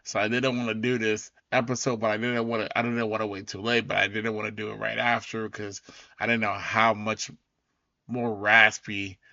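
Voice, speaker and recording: A man hosting his own podcast in a loose, rambling way, his voice raspy as it recovers. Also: lowish voice, little pitch movement, moderate pace, sharp tone, dry recording, highs cut off. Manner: loose, rambling, full of fillers and restarts. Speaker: podcast host. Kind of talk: casual solo recap talk.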